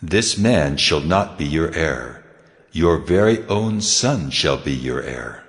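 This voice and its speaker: A man's voice: rumbling low, expressive, slow, full character voice. An actor playing God in a dramatized Bible reading, a solemn and commanding male divine voice making declarations. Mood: solemn, assuring. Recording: long cavernous reverb.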